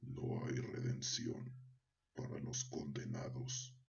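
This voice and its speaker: An ominous voice of doom, played by a man, extremely deep and very slow. The delivery is solemn and breathy, made for a horror tale, on a slightly dull recording. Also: very animated, darkish tone, heavily acted. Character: grim, ominous voice of doom from a title card.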